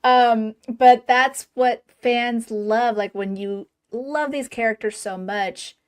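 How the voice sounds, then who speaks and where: A woman's voice: a little high, fairly even intonation, slow, clear, resonant tone. A woman hosting a fan podcast, chatting eagerly with her guest and laughing often. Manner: bubbly, laughs often, enthusiastic. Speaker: podcast host. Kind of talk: casual fan interview.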